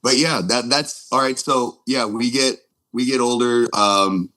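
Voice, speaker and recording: A man in his forties telling stories casually as a podcast guest, rambling and halting, with plenty of swearing. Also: medium pitch, fairly even intonation, sharp tone, no room sound, low-quality recording. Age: 40s. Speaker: podcast guest, punk band drummer.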